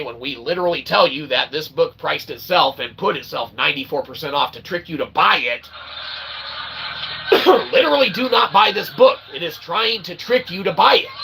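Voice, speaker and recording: A man's voice: on the high side, vocal fry, noisy background. A man hosting his own stream, delivering an emphatic, rambling monologue and tagging nearly every sentence with "okay?". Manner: emphatic, repeats "okay?" constantly; rants with bursts of shouting and laughter. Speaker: channel host.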